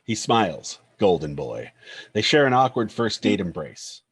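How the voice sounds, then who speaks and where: Low, husky man reading stage directions. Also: sing-song, measured pace. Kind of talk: scripted screenplay narration.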